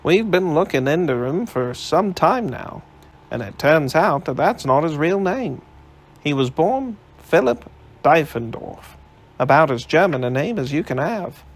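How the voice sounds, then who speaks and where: A man's voice: on the high side, big pitch swings, measured pace, pitched above the performer's own voice, full character voice. A man reading aloud, voicing a brash young male newspaper reporter with a breezy, confident manner. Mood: cocky.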